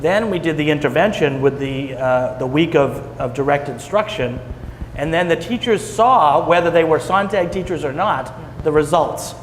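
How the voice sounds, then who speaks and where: A man's voice: a little high, animated, normal speed. A man speaking bluntly and emphatically on a panel about a teaching program. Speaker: education program leader. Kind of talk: panel discussion. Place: conference hall. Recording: echoey hall with faint noise.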